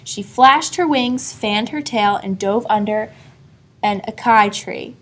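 A woman reading a children's story aloud expressively, pausing to put questions to a young listener. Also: mid-range voice, fairly bright tone. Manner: asks a child engaging questions. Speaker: storybook reader.

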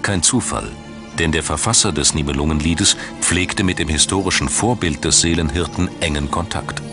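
Male documentary narrator, very deep, measured and dramatic, music bed underneath. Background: background music.

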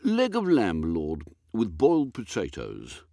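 A man voicing a deferential British butler, formal, measured and precise, in an audiobook. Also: lowish voice, wildly swooping pitch, vocal fry, warm tone, no room sound, studio quality, heavily acted. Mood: solemnly precise. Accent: British English. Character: stately, deferential male English butler.

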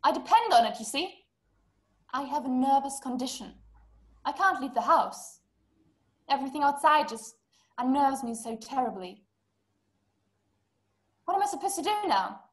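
A woman's voice: sits high, sing-song, moderate pace, pauses often. A young woman playing an anxious, demanding housebound wife in a stage-style drama, complaining formally into a laptop. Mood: fretful indignant. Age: young adult. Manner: fretful, indignant, formal complaining. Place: small room at home. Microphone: laptop on video call.

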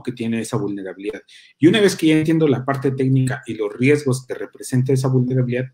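A man's voice: medium pitch, mellow. A young man with a Mexican Spanish accent, a security consultant talking loosely in a livestream, full of restarts and slang. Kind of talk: casual livestream talk. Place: small room indoors, seated at a desk.

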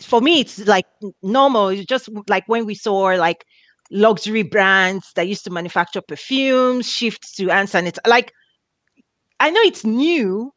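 A woman in her thirties hosting a video call interview, speaking in an animated, enthusiastic way. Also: mid-range voice, no room sound. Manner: animated, enthusiastic, gestures while talking. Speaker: host. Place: indoors, home office. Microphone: headset.

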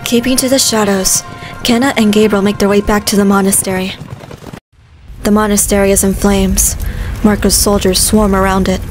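A woman narrates a scripted fantasy story. Her medium-pitched voice stays fairly flat, with light music underneath. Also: moderate pace.

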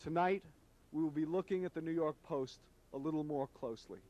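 A middle-aged man with a fairly high, relaxed-toned voice presents a scripted commentary outdoors. He is solemn and emphatic, phrasing each thought with care. The old street recording carries slight background noise. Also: fairly quick. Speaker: host, media critic. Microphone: handheld microphone.

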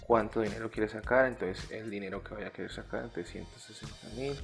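Low-voiced Colombian man with a creaky edge. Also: fairly quick, faint music behind. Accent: Colombian Spanish. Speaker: tutorial video host. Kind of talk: step-by-step app tutorial narration.

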